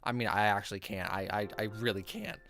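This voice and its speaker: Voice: sits low, fast, gravelly, fairly bright tone, faint music behind. A game streamer reading a visual novel aloud in a playful, theatrical way, stumbling over the text and reacting casually.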